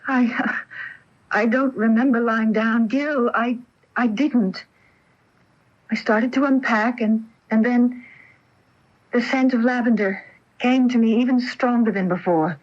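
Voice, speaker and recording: Flustered young would-be actress, highish voice, hesitant, muffled old radio drama. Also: female voice, fairly even intonation, a little slow, long pauses. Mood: bewildered. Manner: hesitant, breaking off phrases. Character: earnest aspiring actress, impressionable, easily flustered young woman. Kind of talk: radio mystery acting. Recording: dull off-air AM radio recording.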